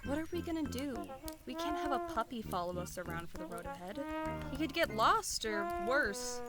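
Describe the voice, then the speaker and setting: A woman plays a sensible adventurer with a fairly high voice. She sounds cautious, careful and articulate in an audio drama, with loud music underneath. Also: sing-song, normal speed. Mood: worried. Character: cautious, sensible adventuring companion, fretting and practical. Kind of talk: audio roleplay voice acting.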